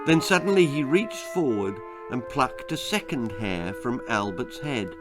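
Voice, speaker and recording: A male author narrates a grisly comic tale for children. He takes his time and varies his pitch widely for drama. Faint music runs under the reading. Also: measured pace. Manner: dramatic, expressive. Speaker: author reading own story. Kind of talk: scripted children's horror-comedy narration.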